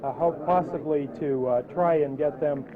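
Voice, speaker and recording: A man with an American accent, a defense attorney answering press questions in a halting, informal way. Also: a little high. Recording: tinny archival newsreel, crowd behind.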